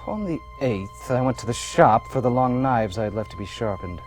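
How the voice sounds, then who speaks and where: A man in his thirties playing a smooth, cold Victorian swindler and killer on stage, with deliberate, commanding theatrical delivery. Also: mid-range voice, animated, faint music behind, lightly acted. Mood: cold, confessional. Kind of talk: stage play acting. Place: theater stage with live audience.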